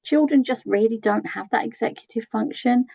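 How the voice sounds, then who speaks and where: A British woman with a croaky voice giving an early years training talk in a chatty way, with many tag questions and ums. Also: on the high side, moderate pace, dark tone, no echo, muffled recording. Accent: British English. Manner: conversational, asks tag questions, frequent ums.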